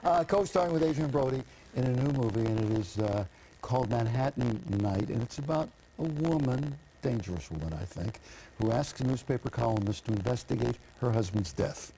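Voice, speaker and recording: A man's voice: mid-range voice, animated, a little fast, gravelly, mellow, a little hiss or hum, low-quality recording. A man hosting a morning television show, chatting casually in a celebrity interview.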